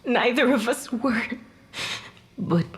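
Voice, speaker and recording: Volatile, slow-speaking ghost woman. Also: on the high side, lively intonation. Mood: bitter, grieving. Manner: volatile, defensive, anguished. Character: bitter, desperate ghost of a poisoned wife and mother. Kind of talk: audio fiction podcast acting.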